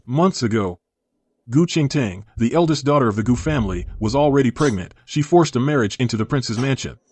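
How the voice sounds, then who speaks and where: A man playing a composed, shrewd imperial prince who argues formally and deliberately in a costume court drama. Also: mid-range voice, expressive, a little mellow, slight background noise, natural voice. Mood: composed, accusing.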